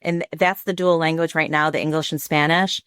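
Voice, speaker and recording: An American woman with a low-pitched voice talks in a conversational interview style. She explains things as a program director would on a podcast. The sound is dry, with hardly any room on it. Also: steady tone, fairly quick, a bit bright, clear, resonant tone, no echo.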